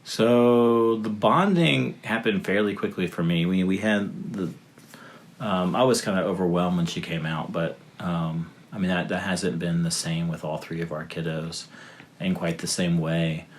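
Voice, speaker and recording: A man in his thirties with a deep, low voice, speaking as a podcast guest. He rambles loosely, piling up fillers and restarting his sentences. A faint hiss sits under the recording. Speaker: guest, father of three. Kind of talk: casual podcast conversation.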